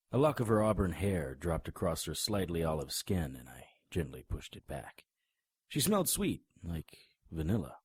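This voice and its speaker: An actor playing a brooding, world-weary hard-boiled monster hunter in a noir audio drama, with a deliberate, clipped, dramatic delivery. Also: male voice, rumbling low, darkish tone, slightly rough, dry recording, exaggerated voice. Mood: tender, solemn.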